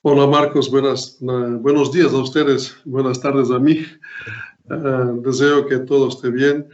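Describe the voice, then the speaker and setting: A man's voice: medium pitch, flat delivery, a little slow. A Portuguese-accented man lecturing over a video call, stopping often with fillers and restarting his sentences. His voice sits in a middle range. The sound is roomy and muffled, as through a laptop call. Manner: hesitant, many fillers and restarts. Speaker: guest lecturer. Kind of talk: online academic talk.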